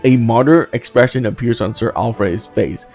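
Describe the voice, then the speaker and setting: A man's voice: medium pitch, moderate pace, gravelly, a little mellow, faint music behind. A man hosting a game playthrough, casually commenting and reading the story text aloud. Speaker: gaming video host.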